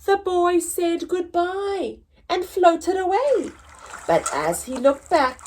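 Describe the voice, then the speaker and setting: A woman reading a picture book aloud to young children as a story time host, expressive and deliberate, drawing out words. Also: extremely high pitch, slight background noise.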